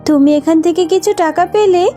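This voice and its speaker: Woman voicing a kindly, high-pitched cartoon fox auntie. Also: fairly quick, warm tone, soft background music. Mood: kind, encouraging. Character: kind motherly fox aunt who sells rice cakes. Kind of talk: children's cartoon voice acting.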